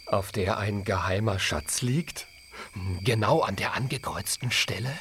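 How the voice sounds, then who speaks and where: A performer playing a plucky, resourceful young piglet in a children's radio play, expressive and determined. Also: deep voice, a little slow, slight background noise. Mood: inventive, eager.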